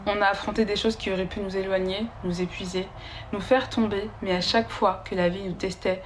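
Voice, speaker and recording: A woman reading a heartfelt letter aloud to her older sister, in a tender, measured way. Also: medium pitch, fairly even intonation, a little hiss or hum.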